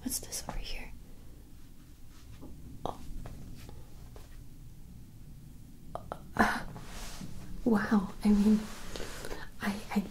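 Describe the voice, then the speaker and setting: A young woman playing a fantasy woman who is puzzled by modern things, whispering softly into a close mic with dramatic, playful reactions. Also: medium pitch, level tone, slow, low-quality recording. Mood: curious. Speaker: ASMR roleplayer. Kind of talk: ASMR roleplay.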